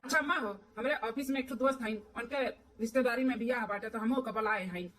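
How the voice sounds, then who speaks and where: Woman doing a simple obedient cartoon son, awadhi accent, polite, high voice, little far from mic. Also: fast, a bit bright. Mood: dutiful. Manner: polite, deferential. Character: obedient, simple grown son handing over wages. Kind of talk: cartoon dialogue in village comedy.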